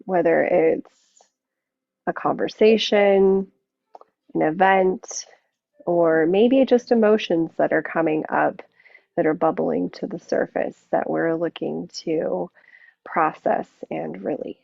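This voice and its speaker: A woman yoga teacher gently guiding a relaxation practice, unhurried and leaving long pauses between phrases. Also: on the low side, gravelly, no room sound. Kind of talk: guided yoga and relaxation instruction. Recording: muffled narrow phone-like sound.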